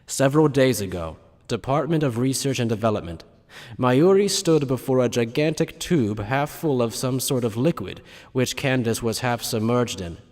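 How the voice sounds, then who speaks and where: A man's voice: mid-range voice, lively intonation, normal speed, darkish tone. A man narrating a scripted story, with long reverb on his voice.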